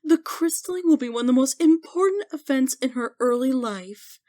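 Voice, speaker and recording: A high, expressive female voice, a woman acting as a gracious royal mare in a cartoon drama. The delivery is caring and varied in pitch, close and dry over faint music. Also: normal speed, dry recording. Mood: earnest.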